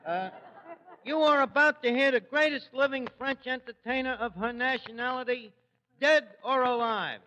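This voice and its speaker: A man's voice: very high-pitched, moderate pace, sharp tone. An actor playing a scheming, malaprop-prone New York tavern manager in 1940s radio comedy, slangy, hesitant and mangling his words. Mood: boastful pitching. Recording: noisy, muffled 1940s radio recording, echoey hall.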